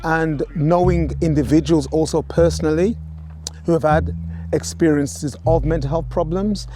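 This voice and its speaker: A man giving a reflective interview, speaking in a measured, earnest and somewhat hesitant way. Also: a little high, fairly even intonation, warm tone, a little hiss or hum. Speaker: interviewee in a documentary.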